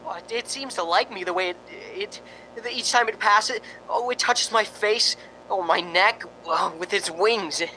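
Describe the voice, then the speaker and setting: A man's voice: high voice, a little slow, bright, forceful tone, a little hiss or hum, heavily acted, rough recording. A man playing a nervous, lonely radio reporter in a spooky radio drama, stammering and rambling with sighing ohs. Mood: frightened. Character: jittery, lonely radio reporter broadcasting from a haunted house.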